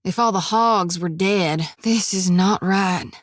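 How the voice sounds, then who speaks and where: A woman's voice: medium pitch, slow pace, no echo. A plainspoken young priestess with a drawl for a video game, earnest with a wry edge. She drops "reckon" and "ain't" freely in a close, dry studio take. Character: folksy young woman priest carrying a soul lantern. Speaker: game companion character. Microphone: close studio mic.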